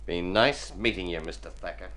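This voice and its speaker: An actor playing a wary, laconic young American drifter in a golden age radio drama, speaking in a terse, guarded, dry manner. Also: male voice, low-pitched, normal speed, bright, forceful tone. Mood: dismissive.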